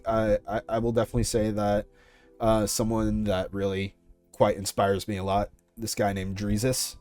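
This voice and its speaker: A man's voice: lowish voice, darkish tone. A young man answering questions about his creative work in a casual interview, as a content creator. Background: music.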